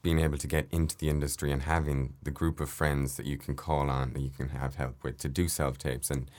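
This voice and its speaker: A man's voice: rumbling low, a little fast. A young Irish man, an actor, chatting casually in an interview and telling stories from his work. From Ireland. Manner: chatty, anecdotal, relaxed. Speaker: actor guest.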